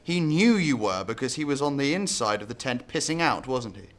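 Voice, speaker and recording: A man's voice: medium pitch, very animated, a little fast, a bit bright. A man acting a blunt, scornful security service chief in a radio drama, sarcastic.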